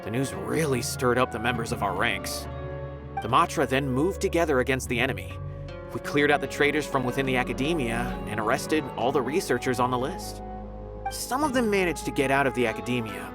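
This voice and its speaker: A man's voice: mid-range voice, close to an everyday voice. An actor playing a polite scholarly official in a game, courteous and explanatory as he recounts events. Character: polite scholarly man, calm and informative. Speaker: academy official. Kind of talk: game dialogue acting. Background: game music.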